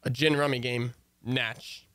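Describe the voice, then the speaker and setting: Actor as wry husband, bright forceful voice. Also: male voice, mid-range voice, level tone, a little slow, dry recording, natural voice. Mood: wry, rueful. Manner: wry, hesitant. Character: easygoing, wisecracking husband narrating his marital troubles. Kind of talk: old-time radio comedy acting and narration.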